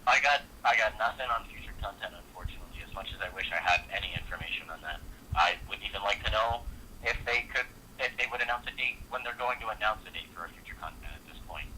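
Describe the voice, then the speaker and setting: A man with a low, very bright and forceful voice handles a customer service call over the phone. He is polite and apologetic but informal, with faint noise on the line. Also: fast talker, rough recording. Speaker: customer support agent. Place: on the other end of a phone call.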